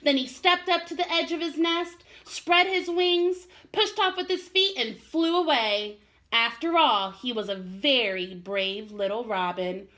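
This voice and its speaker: Female primary teacher for read-alouds: bright, higher-pitched voice, expressive and encouraging delivery. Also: measured pace. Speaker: first-grade teacher.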